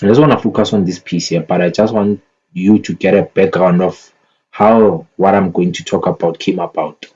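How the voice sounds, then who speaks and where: A man's voice: sits low, fairly even intonation, normal speed, darkish tone, breathy edge. A man giving casual, unscripted commentary as a market analyst, thinking aloud and often repeating phrases as he goes. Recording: dull lo-fi microphone sound.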